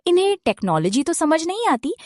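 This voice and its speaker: High, animated young daughter-in-law, played by a woman. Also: fairly quick, dry recording, studio quality. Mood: playful, teasing. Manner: playful, dramatic. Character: cheeky, modern young daughter-in-law introducing her household. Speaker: narrator of a family cartoon. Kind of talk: scripted storytelling addressed to viewers.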